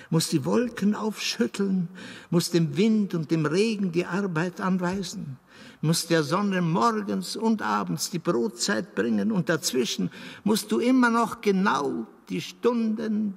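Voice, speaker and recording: An actor playing the Moon as a patient, deliberate fairy-tale advisor in a radio play. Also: male voice, sits high, creaky, husky, full character voice. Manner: deliberate, explanatory, with weighty pauses.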